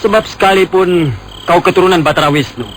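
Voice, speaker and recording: A man playing a calm, unafraid wandering swordsman in an Indonesian radio drama, speaking with measured, gentle mockery. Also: high voice. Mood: firm, unafraid. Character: calm, defiant male wandering swordsman on horseback. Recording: faintly noisy old radio drama recording.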